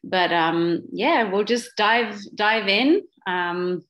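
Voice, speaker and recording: A woman's voice: mid-range voice, drawn-out words, fairly bright tone, dull audio. A woman in her forties, from Switzerland, with a slight Australian twang, speaking as guest on a video call. She talks earnestly and with passion, with many fillers and restarts. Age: 40s. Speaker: guest speaker, social business founder. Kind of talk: online talk with a moderator.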